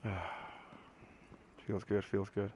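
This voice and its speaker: British man, low-pitched, even-toned gamer. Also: slow pace, dull audio, background noise. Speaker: video game streamer. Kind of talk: casual gameplay commentary with banter. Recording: game music and sounds behind. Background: video game music.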